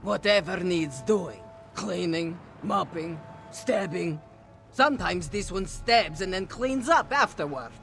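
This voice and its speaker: A woman voicing a gruff, deadpan cat-folk deckhand in a video game, speaking of herself in the third person. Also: lowish voice, takes it slowly, faint music behind, exaggerated voice. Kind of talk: scripted video game dialogue.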